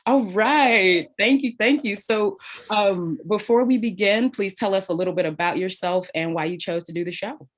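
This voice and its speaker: Effusive woman hosting, medium-pitched voice. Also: moderate pace. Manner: effusive, affirming. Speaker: podcast host. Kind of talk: casual conversational interviewing.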